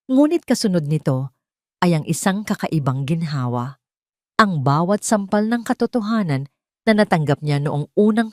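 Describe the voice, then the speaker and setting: A woman's voice: fairly low pitch, a little mellow, no room sound, studio quality. A woman delivering dramatic scripted narration as the storyteller of an audio drama.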